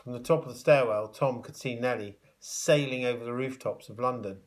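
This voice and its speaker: A man's voice: mid-range voice, a little fast. Man reading a children's story expressively. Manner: expressive, dramatic emphasis. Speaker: primary school teacher reading aloud. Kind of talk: animated children's storybook narration.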